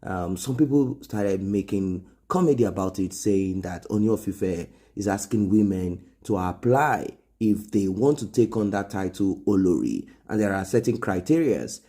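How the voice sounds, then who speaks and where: Low, mellow-voiced Nigerian man commentating. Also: lively intonation, moderate pace, hardly any pauses. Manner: conversational, explanatory, repeats phrases for emphasis. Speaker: gossip show host. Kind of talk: informal celebrity gossip commentary.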